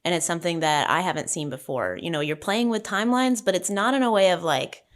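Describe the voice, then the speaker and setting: Female panelist, slightly low register, casual, thoughtful and halting delivery. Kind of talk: casual script discussion.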